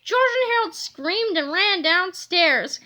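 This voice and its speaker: A woman narrating a children's storybook aloud in an expressive, scripted reading style. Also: extremely high pitch, takes it slowly.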